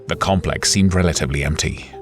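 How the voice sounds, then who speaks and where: A man narrates an adventure story in a very deep voice. The delivery is scripted and dramatic, with prominent music playing behind it. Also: fast. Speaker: narrator and voice performer of an audio story.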